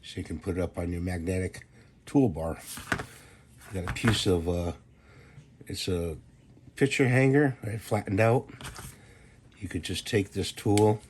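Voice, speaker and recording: A man with a hoarse voice from a cold, hosting his own channel and casually walking through a simple do-it-yourself fix with plain, unhurried instructions. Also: sits low, a little mellow, a little hiss or hum. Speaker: DIY channel host. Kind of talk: casual how-to demonstration.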